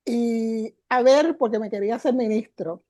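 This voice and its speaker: A woman's voice: fairly high pitch, moderate pace, a little mellow, no room sound, clean studio sound. An older woman, a guest teacher, telling her story in an interview with emotion, repeating words for emphasis. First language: Spanish.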